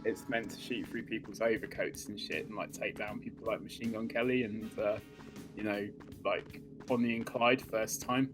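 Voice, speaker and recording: A man's voice: on the low side, steady tone, normal speed, music in the background. A man co-hosting a gaming video in casual banter, joking dryly and swearing now and then. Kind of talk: casual banter over gameplay.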